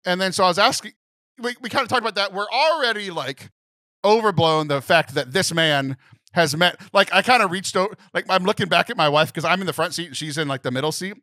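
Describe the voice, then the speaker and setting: A young man co-hosting a podcast, telling a story in a lively, animated way. He laughs often and mimics other people's voices. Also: sits high, brisk, no room sound. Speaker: podcast co-host.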